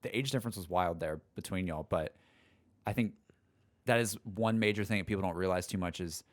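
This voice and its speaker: A man's voice: deep voice, fairly quick. A man, co-hosting a podcast in easygoing, agreeable chat. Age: 20s. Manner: easygoing, agreeable, says "like" often. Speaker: podcast co-host.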